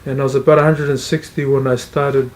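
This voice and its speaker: A man's voice: mid-range voice, flat delivery, brisk. A middle-aged man, earnestly sharing his experience in an interview, often repeating and restarting phrases. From Tonga, raised in New Zealand. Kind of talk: testimonial interview.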